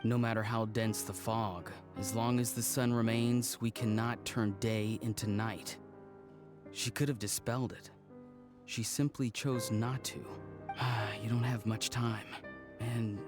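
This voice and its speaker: A man playing a cool, probing schemer in game dialogue, speaking in a measured, cryptic way. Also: on the low side, fairly even intonation, lightly acted. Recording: prominent background music.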